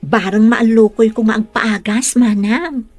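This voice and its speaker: A woman acting in a radio drama as a patient young caregiver with a gentle, coaxing, respectful manner. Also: on the high side, fairly even intonation, unhurried, no echo. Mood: light, confident.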